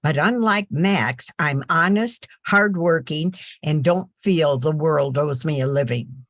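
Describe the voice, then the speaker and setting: A woman's voice: low-pitched, slow pace, a bit bright, dry recording, studio quality, full character voice. An older woman playing an honest, hardworking twin sister in a radio drama. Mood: earnest.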